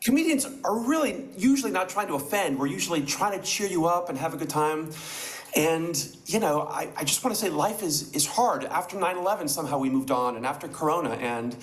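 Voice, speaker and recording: A man in his forties with an American accent chats as a comedian guest on a video call. His voice is slightly high for a man, and he talks in a casual but earnest way. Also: expressive, brisk, low-quality recording. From United States. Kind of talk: casual interview talk. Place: small indoor room.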